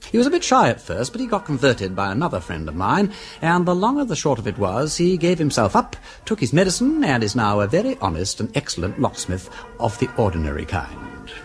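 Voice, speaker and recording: Radio drama lead: flippant aristocratic sleuth, upper-class English, extremely varied intonation, faint music. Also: male voice, mid-range voice, huge pitch swings, fairly quick. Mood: chatty, amused. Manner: breezy, flippant, urbane. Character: breezy, chatty aristocratic gentleman detective. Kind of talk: British radio drama acting.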